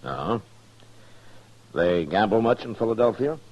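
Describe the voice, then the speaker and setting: Casting: unflappable western lawman, low gravel-edged husky voice, dry authority, 1950s radio drama. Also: lively intonation, unhurried. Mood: dry, terse. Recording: muffled old 1950s radio recording.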